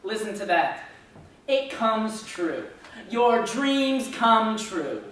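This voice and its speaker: Man acting a slick circus owner, high voice, slow, declamatory, stage drama, distant in echoey hall. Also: sing-song, fairly bright tone, exaggerated voice. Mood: intense. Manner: grand, declamatory ringmaster delivery. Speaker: actor in a student readers theater group. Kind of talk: theatrical stage monologue. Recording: echoey hall, distant mic, faint noise.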